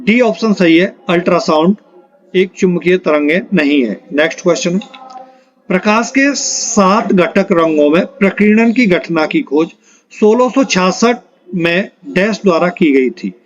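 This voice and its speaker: A man's voice: sits high, animated, measured pace, muffled recording. A man teaching an exam-prep lesson, reading quiz questions and options aloud and announcing the answers in an instructional way.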